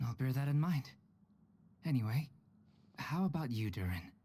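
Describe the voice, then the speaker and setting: Mellow, modest painter. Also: male voice, mid-range voice, big pitch swings, normal speed, soft background music. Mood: courteous. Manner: polite, reserved. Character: modest, calm, courteous male painter. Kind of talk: video game voice acting.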